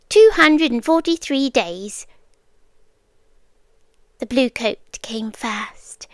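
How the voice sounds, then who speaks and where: British yandere girlfriend played by a woman: high, unhurried, coy and possessive, dry close ASMR roleplay. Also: no echo, exaggerated voice. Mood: coy, teasing. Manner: coy, cooing, with soft giggles and whispery dips. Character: obsessive yandere girlfriend, sweetly menacing kidnapper.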